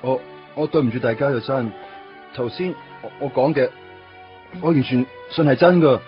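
A man's voice: mid-range voice, moderate pace, close to an everyday voice. An actor playing a timid, earnest young man in a radio play, speaking Hong Kong Cantonese and stammering over his words. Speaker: supporting character in a radio play. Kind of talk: 1990s radio drama acting. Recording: dull lo-fi radio recording with music.

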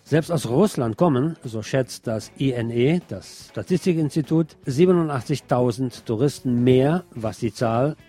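A man's voice: mid-range voice, unhurried, few pauses, warm tone, breathy edge, soft background music. A man presenting scripted news as a commentator, with a sardonic, ironic manner. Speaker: presenter of a local news programme.

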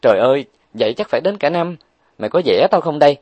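A man voicing a brash, boastful young woman who is rich and bossy, talking loudly and bragging. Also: medium pitch, lively intonation, fairly quick, no room sound, natural voice. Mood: whiny.

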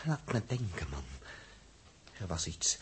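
Crewman for vintage Dutch radio serial: animated, brooding then impassioned, Netherlands accent, faint background noise. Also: deep voice, a little slow. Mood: brooding, anguished. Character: emotional, protective male space crewman. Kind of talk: 1960s Dutch radio drama acting.

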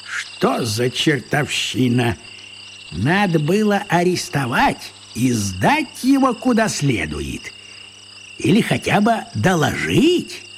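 An actor playing an eccentric, stubborn wizard in an audio drama, grumbling and arguing in folksy colloquial speech. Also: male voice, a little high, huge pitch swings, slow pace, a little hiss or hum, full character voice. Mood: puzzled musing. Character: elderly eccentric man, a wizard, stubborn and self-justifying. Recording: echoey hall reverb.

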